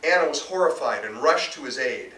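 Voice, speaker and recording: Bright-voiced American man reading, roomy sound. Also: medium pitch, expressive, fairly quick. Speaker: author reading own novel. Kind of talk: live literary reading, narration. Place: bookstore reading event, indoors. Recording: roomy, distant live recording, faint noise.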